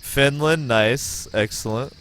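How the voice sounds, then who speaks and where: An American man streaming a game, giving excitable, profane play-by-play and chatting with viewers. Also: medium pitch, takes it slowly, a crowd in the background. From United States. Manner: excitable, profane, talks to chat. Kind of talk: casual gameplay commentary.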